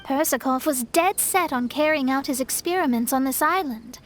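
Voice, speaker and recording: Woman doing earnest young detective girl in a game, high clear explainy voice, bit of music behind. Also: normal speed, natural voice. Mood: earnest, explanatory. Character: earnest young female investigator explaining clues. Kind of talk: video game English dub acting.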